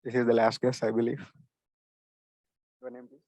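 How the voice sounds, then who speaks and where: A man's voice: medium pitch, completely flat delivery, moderate pace, a little mellow, no echo. A young man hosting a student club meeting, casually welcoming guests onto the stage with friendly encouragement. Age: young adult. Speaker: meeting host.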